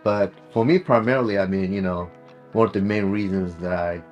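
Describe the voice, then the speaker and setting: A middle-aged man from South Korea with a Korean accent, chatting casually and haltingly, often saying "you know". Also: deep voice, fairly even intonation, lo-fi sound, faint music behind. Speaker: client visiting a workshop. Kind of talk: casual conversation.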